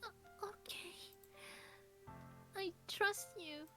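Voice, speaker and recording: A shy, lovesick anime schoolgirl from a visual novel. The voice is extremely high and slow, tender and hesitant, with an undertone of sadness. Faint music plays behind it. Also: pushed and bright, heavily acted. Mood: sad, confused. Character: shy, sad teenage anime girl in love. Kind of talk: visual novel character voicing.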